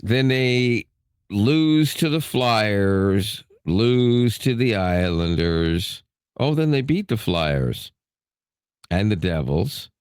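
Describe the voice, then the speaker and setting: A man co-hosting a casual podcast, animated and teasing, talking sports and tech. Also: extremely slow, smooth, dry recording, studio quality. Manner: animated, teasing, jumps up in pitch when ribbing. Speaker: podcast co-host. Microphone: studio microphone.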